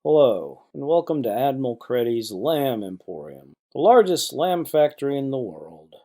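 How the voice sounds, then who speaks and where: A man voicing a proud corporate tour guide in an audio play, speaking in a rehearsed, formal sales pitch. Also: sing-song, normal speed, dark tone, faint music behind. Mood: proud, salesy. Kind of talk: scripted audio play acting.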